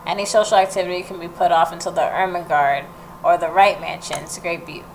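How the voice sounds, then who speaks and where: A woman's voice: fairly low pitch, steady tone, quick pace, rough recording. A woman hosting a let's play, reading game text aloud and dropping in informal, reactive asides. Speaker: gaming video host. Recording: clear background noise and game ambience.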